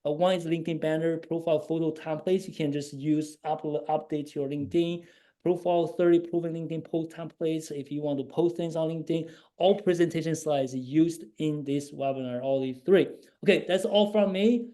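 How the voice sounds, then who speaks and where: A man's voice: on the high side, steady tone, normal speed, mellow. A man hosting a webinar over a video call, making casual announcements and often checking in with "okay?". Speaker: webinar host.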